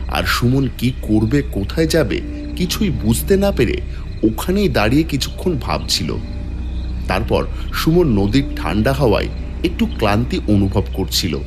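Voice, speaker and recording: A man's voice: medium pitch, sing-song, measured pace, over music. A man narrating a scripted horror story in a dramatic storytelling style.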